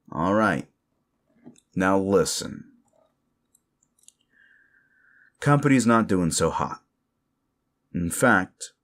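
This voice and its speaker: Man acting a low-voiced, sleazy bullying boss. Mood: conspiratorial, threatening, then condescending, exasperated. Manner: condescending, foul-mouthed, cajoling and berating. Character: sleazy, bullying male office boss, secretly an alpha werewolf. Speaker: voice actor performing a roleplay. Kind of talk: dramatic audio roleplay monologue to the listener.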